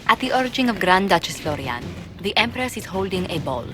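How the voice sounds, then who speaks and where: A woman playing a composed, formal spymaster and court advisor in a video game, speaking in a measured way. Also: medium pitch, very animated, lightly acted. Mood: composed, secretive. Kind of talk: video game dialogue acting. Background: game music.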